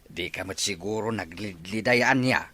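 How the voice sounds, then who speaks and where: A man playing a doting old Ilocano grandfather in a family audio drama, speaking with affectionate, drawn-out delivery. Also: fairly low pitch, bright, forceful tone. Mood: friendly.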